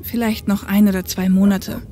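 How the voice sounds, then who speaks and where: A woman's voice: mid-range voice, level tone, moderate pace, warm tone, slight background noise, close to an everyday voice. A woman acting a dejected, bitter jobless former police copilot in an audio drama, with a glum, dry delivery. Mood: dejected, resigned.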